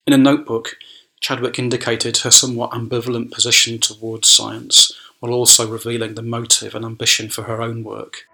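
Very crisp, bright scholarly man. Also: medium pitch, level tone, a little fast, rough recording. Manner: formal, scholarly. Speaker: academic speaker. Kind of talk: scripted essay narration.

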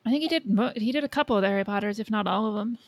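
A woman's voice: medium pitch, racing, dry recording. A woman co-hosting a podcast, chatting casually about pop culture and thinking aloud. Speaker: podcast co-host.

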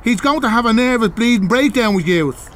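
An actor playing a grumpy, suspicious, confrontational Irish householder in a comedy audio drama. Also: male voice, very high voice, animated, a little fast, a bit bright, a little hiss or hum. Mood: angry. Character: irate, suspicious, foul-mouthed Irish householder.

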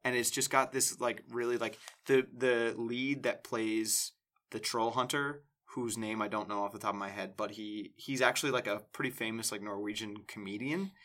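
A man's voice: fairly low pitch, fairly even intonation, normal speed, fairly bright tone. A man co-hosting a podcast, chatting casually and enthusiastically, rambling with lots of fillers. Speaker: podcast co-host. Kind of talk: casual chat.

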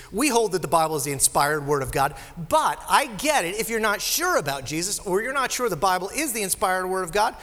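A pastor in the pulpit, a man with a nasal, high-pitched voice. His delivery is lively and conversational, full of asides to the congregation. The recording carries the long reverb of a big sanctuary. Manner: conversational, animated preaching with asides. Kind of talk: sermon. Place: church sanctuary. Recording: live sermon in a reverberant hall.

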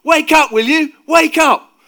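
A man voicing a cocky, bossy fisherman in a dramatised story reading, with a teasing, forceful manner. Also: medium pitch, sing-song, moderate pace, lightly acted. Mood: frantic shouting. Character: cocky, bossy fisherman disciple, panicking and bellowing in storms.

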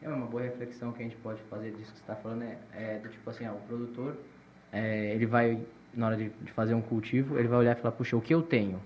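A man's voice: mid-range voice, steady tone, racing, muffled recording, slight background noise. A man co-hosting a casual talk, speaking animatedly and acting out quoted thoughts. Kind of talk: casual interview chat.

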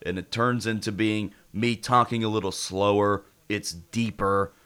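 A man's voice: fairly low pitch, steady tone, unhurried, fairly bright tone, clear, resonant tone. An American man hosting casual sports talk radio, congested with a head cold.

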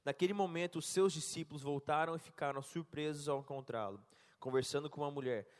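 A man interpreting a sermon live and reading scripture aloud, halting and correcting himself as he goes. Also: a little high. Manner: halting, self-correcting, laughs at slips. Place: church hall. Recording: long church reverb.

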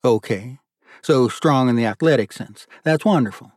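A man voicing a gentle, fatherly older gentleman in an audiobook, measured and wry. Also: mid-range voice, no room sound, studio quality, close to an everyday voice. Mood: wryly curious. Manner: measured, kindly, wry, formal wording.

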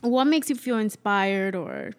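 A woman's voice: mid-range voice, expressive, measured pace. A woman with a light Dominican accent, chatting casually on a podcast and laughing often. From Dominican Republic heritage. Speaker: guest co-host.

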